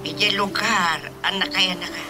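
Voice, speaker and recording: A man playing a stubborn old husband in an Ilocano radio comedy-drama, with an insistent, expressive delivery. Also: high-pitched, normal speed, music in the background, close to an everyday voice, poor audio. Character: stubborn old husband longing for a quiet place.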